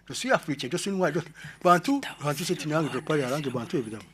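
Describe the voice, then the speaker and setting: A man from Rwanda with a Rwandan French accent, speaking to an audience in a halting, explanatory way, often repeating words and restarting. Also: fairly high pitch, expressive, fairly bright tone, a little hiss or hum. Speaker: conference speaker. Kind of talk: lecture-style talk to an audience.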